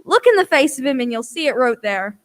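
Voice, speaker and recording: A woman playing a hot-headed, mutinous pirate in a radio play, with scornful, rough sailor talk. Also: sits high, very animated, a little fast, heavy background noise. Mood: angry, scornful.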